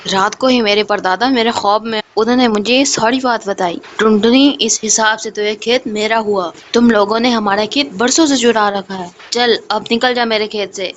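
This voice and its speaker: A woman's voice: on the high side, normal speed, hardly any pauses, muffled recording, a little hiss or hum, close to an everyday voice. A woman voicing a greedy male crow, a domineering thug in a children's cartoon, with a brash, accusing manner. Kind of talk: Hindi children's cartoon voice acting.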